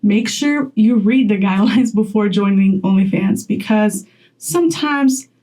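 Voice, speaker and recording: A woman's voice: medium pitch, unhurried, dark tone, low-quality recording. A young woman from Poland talking straight to camera in a chatty vlog, laughing often as she gives advice. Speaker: content creator.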